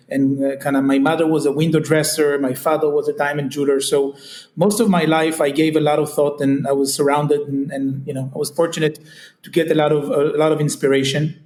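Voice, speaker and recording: An Israeli-accented man talks loosely through a podcast interview, his voice sitting a little higher than most men's. He gropes for phrasing and fills gaps with "kinda" and "you know". The sound is roomy and echoey. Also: darkish tone. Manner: searching, heavy on fillers like "kinda" and "you know". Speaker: guest, retail company CEO. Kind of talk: casual interview chat.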